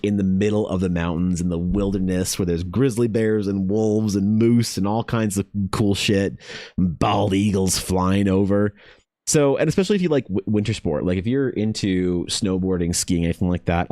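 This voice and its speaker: Low-voiced opinionated man, dry sound. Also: dark tone, no room sound. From Canada. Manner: opinionated, rambling, swears freely, many restarts. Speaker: art vlogger. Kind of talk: casual chat.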